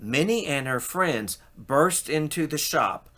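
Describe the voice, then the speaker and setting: A man reading a children's storybook aloud in an expressive narrating style. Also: on the high side, takes it slowly. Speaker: storybook reader.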